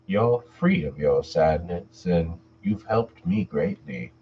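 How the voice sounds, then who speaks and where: Man voicing a smooth, deep, sinister masked stranger. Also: lively intonation, heavily acted. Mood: calm, sinister. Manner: slow, hushed comforting. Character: slim masked inhuman man, eerily soothing and sinister. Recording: dull lo-fi sound with faint noise.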